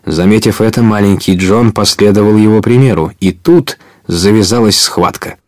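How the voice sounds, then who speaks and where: Man as storyteller for an adventure radio play, lowish voice, measured narration. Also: darkish tone, lightly acted. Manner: measured, clearly told storytelling. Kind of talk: scripted narration of an audio drama.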